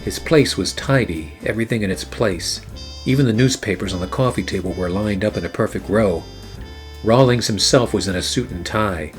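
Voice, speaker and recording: Man playing a low-voiced, hard-boiled private eye. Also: moderate pace, natural voice. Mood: brooding. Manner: deadpan, terse. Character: hard-boiled male private eye narrating, a wry war veteran. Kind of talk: scripted noir radio play narration. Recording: music behind the voice. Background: music.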